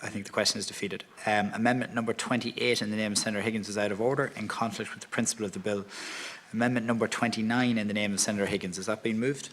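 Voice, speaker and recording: A man chairing a parliamentary session, calling amendments and votes in a brisk, halting way that keeps correcting itself. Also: fairly low pitch, fairly even intonation, a bit bright, a little hiss or hum. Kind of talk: formal procedural chairing. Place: parliamentary chamber.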